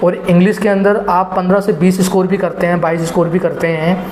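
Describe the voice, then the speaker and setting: A young man with a fairly high voice talks students through an exam strategy in a loose, rambling way, leaning on filler phrases. He sounds like he is in an echoey room, with a faint hum of ambience behind him. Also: level tone, fairly quick, a little mellow. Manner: rambling, heavy filler phrases, addresses viewers as guys. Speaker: exam prep teacher. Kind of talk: casual spoken exam advice.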